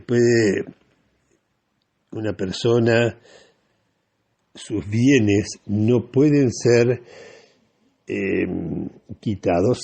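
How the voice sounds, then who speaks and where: An Argentine man giving political commentary on the radio in a deliberate, didactic way, often posing rhetorical questions. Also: fairly low pitch, mellow, husky.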